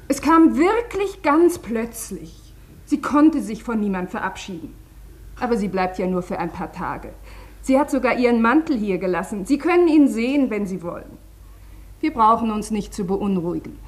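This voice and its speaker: A flustered woman in a radio play, smoothing things over. Her voice is fairly high, and she sounds hesitant yet over-insistent, always placating. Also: sing-song, moderate pace. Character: flustered woman glibly covering up an absence. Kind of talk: German radio play acting.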